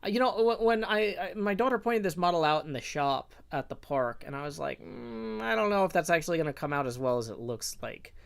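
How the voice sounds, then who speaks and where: A man's voice: fairly high pitch, lively intonation, brisk, creaky, few pauses, fairly bright tone. A man live-streaming a game, chatting in a rambling, casual way and answering his viewers as he plays. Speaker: livestreamer. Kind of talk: casual live-stream chat while playing.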